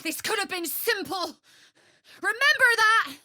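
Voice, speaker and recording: A woman's voice: extremely high pitch, lively intonation, a little slow, bright, forceful tone, dry recording, studio quality, exaggerated voice. An actress playing a scheming, commanding female mercenary leader in a video game, persuasive and calculating. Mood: betrayed, outraged. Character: scheming, smooth-talking female mercenary leader plotting a takeover. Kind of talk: video game character acting.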